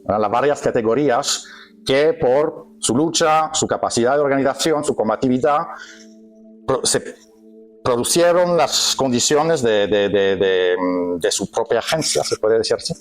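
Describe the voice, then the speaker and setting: Male workshop speaker, non-native, halting and self-correcting, mid-pitched, faint music under the room. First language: not Spanish. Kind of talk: live lecture to a group. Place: meeting hall with an audience.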